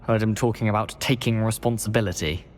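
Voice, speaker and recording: A man's voice: on the low side, steady tone, fast talker, a little hiss or hum, natural voice. A man acting an eager, mischievous teenage wizard in a video game, with a British accent and chummy, persuasive manner. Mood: cheerful, chummy.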